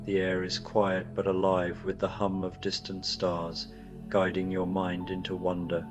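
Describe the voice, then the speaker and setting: Deep, flat, soothing male sleep voice. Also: music in the background. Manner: soft, soothing, slow. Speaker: sleep story host. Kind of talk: calm guided relaxation.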